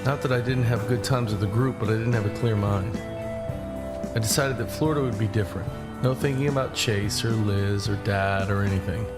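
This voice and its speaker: A man playing a guarded, easygoing young hiker who narrates his own journey in a low-key, conversational way. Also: steady tone, brisk, natural voice. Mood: reflective. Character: John, easygoing but guarded young man hiking long-distance. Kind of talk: first-person audio drama narration and dialogue. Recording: music behind the voice.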